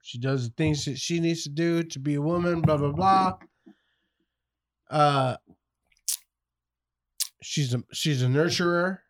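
A married father in casual chat, teasing and halting, often rephrasing himself. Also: a little high. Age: adult, married father.

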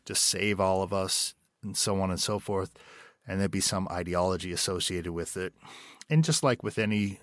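Man, very low voice, interview guest, hesitant with restarts, dry close sound. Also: moderate pace, a bit bright, dry recording. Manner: thoughtful, searching for words with many restarts and fillers. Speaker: writer and director, interview guest. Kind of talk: interview answers.

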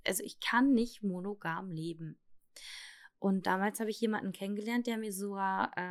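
Hesitant German woman confiding. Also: mid-range voice. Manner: hesitant, halting, many fillers and restarts; candid, confessional. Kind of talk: personal testimony.

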